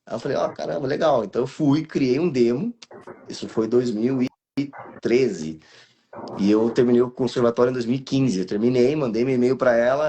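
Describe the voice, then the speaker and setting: A man's voice: medium pitch, dark tone, slight background noise. A Brazilian actor in his thirties, speaking in a casual live interview and telling his career story with many restarts and fillers. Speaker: guest actor and voice actor.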